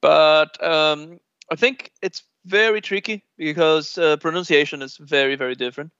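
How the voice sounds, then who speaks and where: A man from Brazil with a Brazilian Portuguese accent, a native Portuguese speaker chatting relaxed in an interview, laughing often and filling pauses with uhs. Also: fairly high pitch, smooth, dry recording. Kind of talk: casual conversational interview. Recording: dull lo-fi dry sound.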